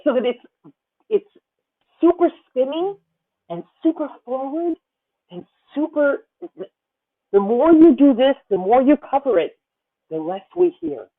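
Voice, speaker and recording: A woman in her fifties leading a voice masterclass, talking animatedly with halting restarts and breaking into sung demonstration phrases. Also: high-pitched, very animated. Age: 50s. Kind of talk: coaching a singer in a masterclass. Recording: tinny phone-like video call audio.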